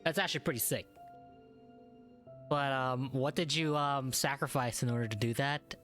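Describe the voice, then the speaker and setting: A woman's voice: extremely low pitch, steady tone, normal speed, fairly bright tone. A woman giving casual, informal, teasing commentary while reacting to a video game. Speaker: gamer reacting on a video channel. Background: game music.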